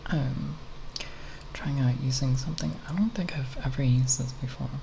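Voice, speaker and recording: An ASMR creator speaking softly and breathily, half whispering in a halting, unscripted ramble with long pauses, close to the microphone. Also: mid-range voice, noisy background. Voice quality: soft, breathy, partly whispered.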